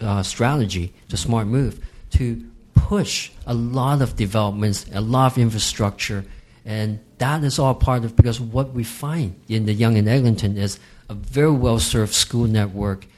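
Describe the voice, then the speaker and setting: A man from Hong Kong, a real estate guest on a podcast, explaining market trends in a measured, emphatic way. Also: medium pitch, darkish tone. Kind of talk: podcast interview.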